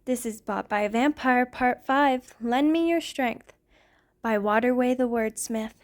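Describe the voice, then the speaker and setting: A woman's voice: on the high side, a little slow. A woman who makes ASMR videos, talking casually to her listeners in an informal channel announcement.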